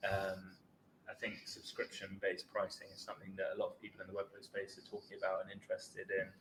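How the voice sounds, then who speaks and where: A man's voice: deep voice, completely flat delivery, quick pace. Man hosting in a roomy space. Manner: chatty, friendly questioning. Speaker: podcast host. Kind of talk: casual podcast interview. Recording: roomy with faint background noise.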